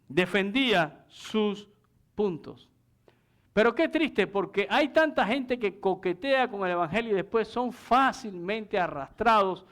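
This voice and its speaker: High-pitched, clear-voiced male preacher. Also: a bit bright. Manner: didactic, checks in with rhetorical questions. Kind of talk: sermon with scripture exposition and anecdotes.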